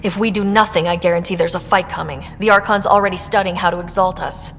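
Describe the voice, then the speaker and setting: A woman's voice: on the low side, steady tone, fast, fairly bright tone, big-room sound, soft background music. An actress playing a resolute young woman who leads space explorers in a video game. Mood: firm, earnest. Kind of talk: video game dialogue acting.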